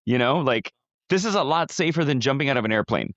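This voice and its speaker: A man's voice: medium pitch, animated, fast, fairly bright tone, no room sound, lo-fi sound. A middle-aged man co-hosting a podcast, chatting casually, rambling with restarts and casual swearing. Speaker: podcast co-host. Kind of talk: casual chat.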